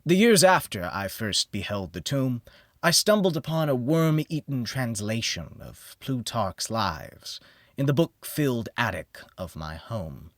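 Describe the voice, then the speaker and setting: A man narrates a first-person gothic horror audiobook in a theatrical style. His mid-pitched voice moves slowly and deliberately, sinking into hushed phrases and rising into emphatic ones with a very animated range. The sound is dry, with no sense of room around him. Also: dry recording.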